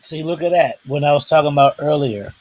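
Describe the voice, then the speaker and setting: A man co-hosting a casual wrestling chat, rambling and halting in a laid-back way. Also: a little high, muffled recording. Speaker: podcast co-host.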